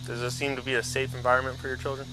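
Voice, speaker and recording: A male American police officer with a mid-pitched voice questions someone bluntly and confrontationally. It is body camera audio with music clearly playing behind. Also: completely flat delivery, racing, low-quality recording.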